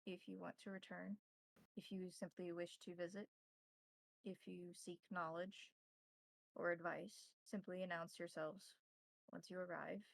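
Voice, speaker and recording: Formal, measured vampire priest, voiced by a woman, creaky voice. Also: fairly low pitch, little pitch movement, no echo, rough recording. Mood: courteous, formal. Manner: formal, measured, halting phrasing. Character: courteous vampire priest, cryptic advisor to a pack.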